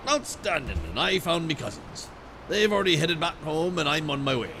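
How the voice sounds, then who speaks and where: Actor as a bouncy, animated game character, heard faintly off-mic with light noise. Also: male voice, fairly high pitch, moderate pace, lightly acted. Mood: cheerful.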